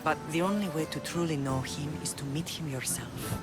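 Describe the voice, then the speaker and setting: An actress playing a commanding, protective middle-aged Spartan mother and leader in a video game, speaking in a measured, formal, authoritative way. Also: low-pitched, loud music behind the voice, close to an everyday voice. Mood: solemn, caring. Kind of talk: dramatic video game acting.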